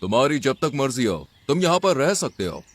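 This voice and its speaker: A man's voice: medium pitch, big pitch swings, brisk. A man voicing a stern, proud lion king in a children's cartoon, with a regal, commanding manner. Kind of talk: Hindi children's cartoon voice acting.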